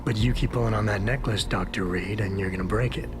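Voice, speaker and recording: A man's voice: lowish voice, fairly even intonation, fast, loud music behind the voice, close to an everyday voice. An actor playing a guarded, dryly spoken male security chief in a video game cut-scene. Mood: dry, terse. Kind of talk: low-key cinematic video game acting.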